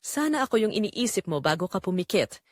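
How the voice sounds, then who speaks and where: A woman's voice: medium pitch, very animated, brisk, no echo, clean studio sound, natural voice. A woman voicing a flirtatious, persistent male online suitor, coaxing and romantic, in an audio drama. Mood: longing.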